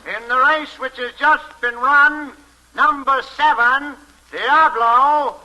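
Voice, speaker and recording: Slow, piercing racetrack announcer. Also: male voice, very high-pitched, steady tone, bright, forceful tone, heavily acted, rough recording. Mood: declamatory. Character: formal racetrack announcer, loud and deliberate. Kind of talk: 1940s radio acting.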